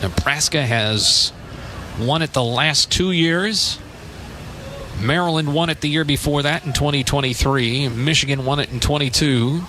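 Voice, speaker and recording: An American man doing live radio play-by-play of baseball in a measured, descriptive way, with short phrases and pauses. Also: fairly high pitch, sharp tone, slight background noise, poor audio. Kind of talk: live radio sports commentary.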